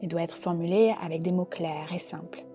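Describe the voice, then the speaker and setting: A woman gently and calmly guiding a relaxation meditation as a yoga nidra teacher, unhurried and soothing in her delivery. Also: on the low side. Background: soft background music.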